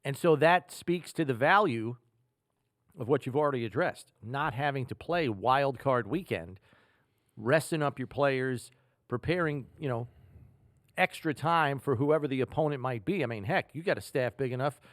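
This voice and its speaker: A man with an American accent hosting a football podcast, talking through statistics in a measured, analytical, conversational way. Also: mid-range voice, no echo, studio quality. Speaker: sports podcast host.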